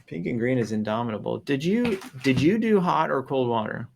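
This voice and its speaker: A man's voice: mid-range voice, expressive, fairly quick, creaky, noisy background. A man hosting a show, casually reading posts aloud and commenting in a playful, rambling way with exaggerated emphasis. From American South. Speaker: show host.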